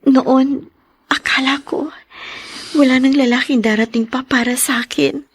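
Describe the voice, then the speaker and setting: A fairly high Filipino female voice, husky and airy. A woman acts out a grateful, tender sweetheart in an audio drama, recorded very dry. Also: steady tone, unhurried, dry recording.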